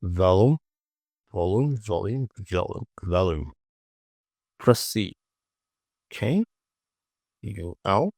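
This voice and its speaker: A man casually presenting a software tutorial, talking to himself as he works and repeating short phrases. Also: deep voice, expressive, slow pace, pauses often, dry recording, studio quality.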